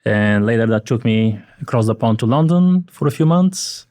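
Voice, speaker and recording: A man in his forties from Bulgaria, chatting on a podcast as a guest and telling his story in a relaxed, wry way. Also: mid-range voice, normal speed, no room sound. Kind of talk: casual podcast chat.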